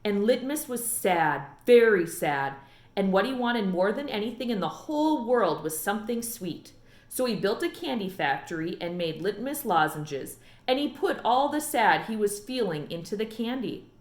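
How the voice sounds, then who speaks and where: A woman's voice: medium pitch, big pitch swings, normal speed, roomy sound, lightly acted. A woman voicing an earnest, curious and stubborn young girl in a storybook read-aloud. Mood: earnest explaining.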